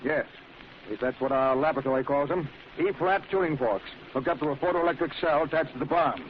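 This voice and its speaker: An actor playing a busy, irritable police commissioner in an old radio drama, impatient and official in manner. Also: male voice, a little high, a little fast, natural voice. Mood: irritated. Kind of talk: 1940s radio acting. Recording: muffled noisy old radio recording.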